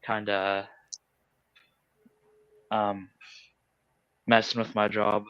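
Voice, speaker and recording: A man from Florida, a guest on a livestream, talking in a hesitant, halting way. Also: on the low side, flat delivery, clear, resonant tone, highs cut off. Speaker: stream guest. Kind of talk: casual chat.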